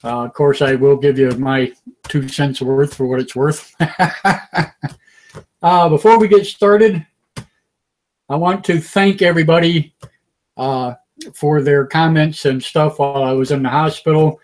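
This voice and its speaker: Raspy older man hosting a call-in show. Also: a little high, fairly even intonation, measured pace, pauses often, darkish tone. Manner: easygoing, many filler "uh"s, chuckles. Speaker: host.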